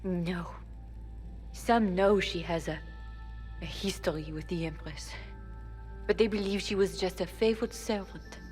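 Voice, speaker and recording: A woman voicing a frightened, bitter elf servant woman in a video game cutscene, speaking quietly and low. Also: measured pace, pauses often, soft background music, close to an everyday voice. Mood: pleading.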